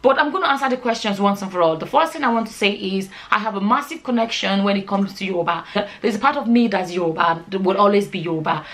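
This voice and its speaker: A woman's voice: medium pitch, fast, a bit bright. A woman of forty from Nigeria chatting informally as a vlogger, often repeating words and phrases for emphasis. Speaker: vlogger, channel host. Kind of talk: casual chatty vlog answering viewer questions.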